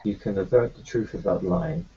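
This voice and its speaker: A man roleplaying his character in a tabletop game, talking in a calm, reassuring, matter-of-fact way. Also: fairly high pitch, normal speed, vocal fry, dull audio, slight background noise.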